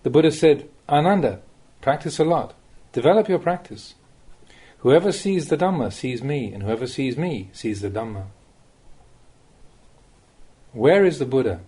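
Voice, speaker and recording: Expressive, mellow-voiced man reading Buddhist teachings. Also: medium pitch, normal speed, slightly rough. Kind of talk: reading a recorded Dhamma talk aloud.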